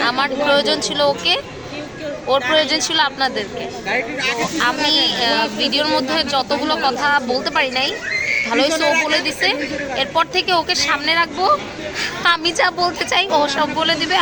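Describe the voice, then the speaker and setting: A woman's voice: high voice, moderate pace. A young woman with a Bangladeshi accent chats casually to her viewers as a vlogger, mixing in English words. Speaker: vlogger, host. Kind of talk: casual vlog chat to viewers. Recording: outdoor vlog with crowd and vehicle noise.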